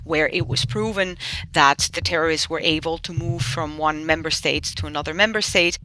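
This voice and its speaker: A woman's voice: sits low, normal speed, pushed and bright, a little hiss or hum, low-quality recording. A middle-aged woman from Spain, a member of the European Parliament, explaining her views earnestly in an interview. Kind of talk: interview answers.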